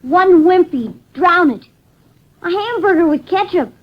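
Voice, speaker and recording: Little boy runaway, high voice, terse and stubborn, talks tough, muffled old 50s radio drama. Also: expressive, a little slow. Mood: guarded, matter-of-fact. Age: child figure, about nine. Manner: terse, slangy, defiant. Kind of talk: 1950s radio drama acting. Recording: muffled vintage 1950s radio recording.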